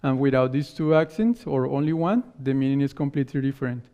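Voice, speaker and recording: A man whose first language is not English, giving a technical conference talk and answering questions in halting phrases that he often restarts. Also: medium pitch, clean tone. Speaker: conference speaker. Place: conference hall stage. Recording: dull lo-fi conference recording.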